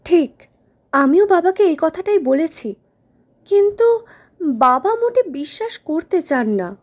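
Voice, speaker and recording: Casting: an earnest girlish daughter in radio drama; unhurried, animated delivery with drawn-out phrases. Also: very high voice, exaggerated voice. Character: young Burmese woman, earnest, puzzled daughter. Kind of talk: Bengali radio drama acting.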